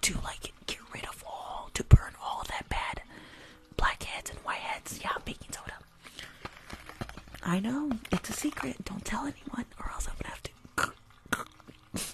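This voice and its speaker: A woman's voice: a little high, expressive, moderate pace, creaky, long pauses. A woman in her twenties doing ASMR roleplay close to the microphone, blunt and teasing, often repeating her phrases. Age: 20s. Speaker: ASMR performer. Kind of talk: whispered ASMR roleplay as a rude spa worker. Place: small room indoors at home. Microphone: close desktop condenser microphone.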